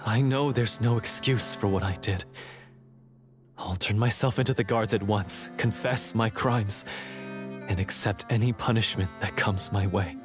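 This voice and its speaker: A man's voice: fairly low pitch, a little slow, music in the background, lightly acted. A man playing a contrite, earnest young male hunter in a video game, speaking formally. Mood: remorseful.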